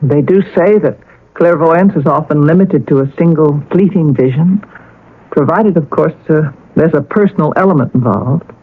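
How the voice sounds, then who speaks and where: An actress playing an earnest woman confidante in a 1970s radio drama, husky, deliberate and explanatory. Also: low voice. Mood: probing. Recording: muffled, band-limited old radio recording.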